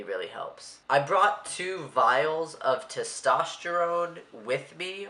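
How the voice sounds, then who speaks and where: A young man with a stuffy, congested voice talks in a loose, rambling vlog manner, a little anxious underneath. His tone is bright and clear-cut at a middling pitch. The room around him rings with echo. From Maryland, USA. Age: young adult. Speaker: vlogger. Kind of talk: casual vlog monologue.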